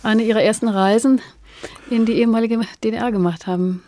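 Soft, mellow woman interviewer. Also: a little high, steady tone, fairly quick. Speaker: interviewer, radio host. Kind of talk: measured, thoughtful literary interview.

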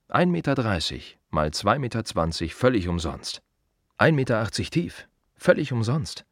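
An actor playing a plain-spoken, wry gravedigger in a radio play monologue, confiding in short halting phrases with dry irony. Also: male voice, low-pitched, fairly bright tone, no echo.

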